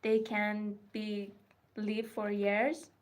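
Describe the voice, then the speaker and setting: A woman from Iran with a Persian accent, chatting in halting, simple English while hosting a crafting livestream from her studio. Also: mid-range voice, monotone. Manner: halting, simple non-native phrasing, repeats words. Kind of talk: casual livestream chat.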